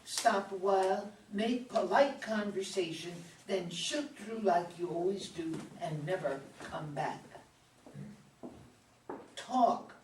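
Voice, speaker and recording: A woman acting a sharp-tongued older farm wife in a play reading, folksy and dry, with an American accent. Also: medium pitch, slow pace, lightly acted. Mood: tart, resentful. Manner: folksy, tart rural speech. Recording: distant mic, echoey room, faint noise.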